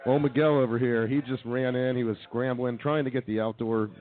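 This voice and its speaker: A man with a medium-pitched voice hosts a live comedy talk show from a tasting room. His sound is narrow, like a phone line. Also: steady tone, brisk. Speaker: radio show host. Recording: thin, band-limited radio stream.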